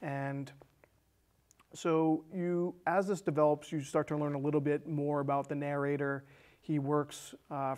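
A man in his thirties with an American accent, casually recapping a film as a co-host, often restarting and repeating phrases. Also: a little high. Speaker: film discussion show co-host. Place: small indoor studio set with curtain.

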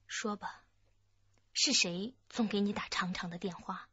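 A firm woman police detective who probes and presses. Her voice is husky, in a close, dry and slightly dull recording, with the delivery of a radio crime drama. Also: medium pitch, unhurried, bright, forceful tone, no room sound, full character voice. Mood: stern, probing.

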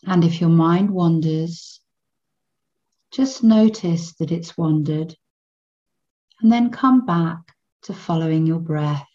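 A woman guiding a children's meditation in a gentle, soothing manner, in measured phrases with long pauses between them. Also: lowish voice, muffled recording. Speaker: meditation guide.

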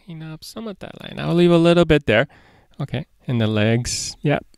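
A man's voice: on the high side, animated, normal speed, vocal fry, darkish tone, clear, resonant tone, no echo, clean studio sound. A man, perhaps in his thirties, hosting a casual step-by-step drawing lesson for children in a playful way, talking to himself as he draws. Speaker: drawing tutorial host for children.

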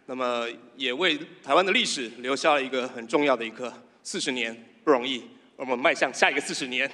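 A middle-aged man with a Taiwanese Mandarin accent giving halting, moved thanks as an award winner. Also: mid-range voice, sharp tone. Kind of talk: acceptance speech. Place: large indoor theatre stage. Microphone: stand microphone. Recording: reverberant theatre stage sound.

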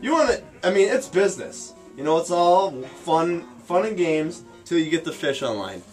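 A man's voice: a little high, expressive, moderate pace, a little mellow. A young American man casually explaining things in a matter-of-fact, tongue-in-cheek way, like a guide on a tour. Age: young adult. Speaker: fisherman guest showing his ice shack. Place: small wooden ice fishing shack. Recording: music playing behind the voice. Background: guitar music.

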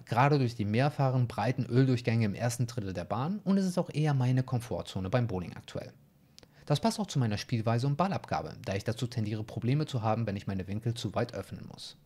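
A man's voice: mid-range voice, darkish tone. A young man teaching bowling in a methodical, technical tutorial, recorded at a desk mic in a small home room. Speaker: bowling coach. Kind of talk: explanatory tutorial. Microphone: desk mic in front.

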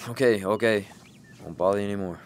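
A man playing a weary, sardonic single father, gruff but caring, who swears when defensive. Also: lowish voice, fast, slight background noise, close to an everyday voice.

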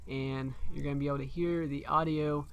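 A man's voice: on the high side, flat delivery, brisk, vocal fry, a bit bright, lo-fi sound. Man casually narrating a game preview. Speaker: streamer, host. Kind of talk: casual gameplay preview commentary.

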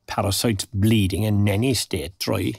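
A man's voice: fairly low pitch, fairly even intonation, unhurried, creaky, husky, no room sound, studio quality, exaggerated voice. An actor playing a proper, old-fashioned retired Irish working man, older and plain-spoken, in an audio drama. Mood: plain, reminiscing. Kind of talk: naturalistic audio drama dialogue.

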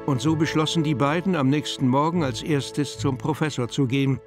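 A man's voice: fairly high pitch, steady tone, a little fast, music in the background. A man narrating a children's audio drama in scripted storybook style.

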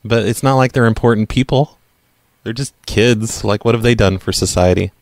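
A man's voice: on the low side, moderate pace, creaky, no room sound, studio quality. A man making a prank phone call in casual speech, hesitant and argumentative as he stumbles over his words. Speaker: prank caller, show host.

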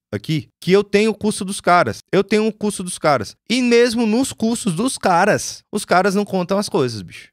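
A man in his forties with a Brazilian accent, a music producer telling stories casually to his live viewers, slangy and animated, on a studio mic in a small home studio. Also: high voice, no room sound. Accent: Brazilian Portuguese, lost Goiás accent. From Goiás, Brazil. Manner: animated storyteller, slangy, swears. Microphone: studio mic on boom.

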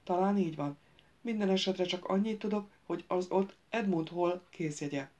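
A small, gentle young nobleman, as a woman narrator reads him. He answers calmly and without heat. It is told in a fantasy audiobook. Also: on the low side, close to an everyday voice. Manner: calm and gentle.

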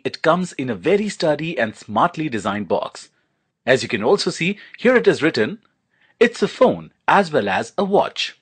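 Man, product reviewer, animated intonation, enthusiastic, promotional unboxing narration. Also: fairly high pitch, slightly rough.

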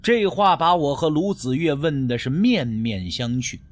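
A man narrating a scripted mystery audiobook in the first person, with dramatic, expressive storytelling. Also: on the high side, unhurried.

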